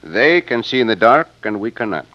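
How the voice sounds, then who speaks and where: A man's voice: lowish voice, very animated, fairly quick. A man playing a bold, resourceful Mexican cowboy adventurer in a Western radio drama, with a Mexican accent and confident, formal phrasing. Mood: defiant. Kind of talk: 1950s Western radio acting. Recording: muffled old radio recording, faint noise.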